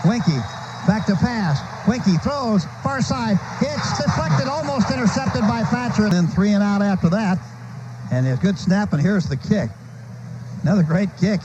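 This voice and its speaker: Male American radio play-by-play announcer, high-pitched, clipped live football calls, noisy archival broadcast with stadium din. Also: dull audio. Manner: short clipped phrases calling action as it happens.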